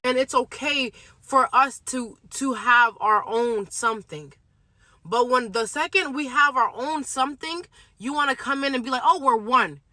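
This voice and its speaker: A woman's voice: high-pitched, moderate pace, sharp tone, dry recording. A woman of Somali Bantu background speaking in an indignant, heated monologue to camera. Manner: indignant, repeats mocking quotes. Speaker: social media commenter.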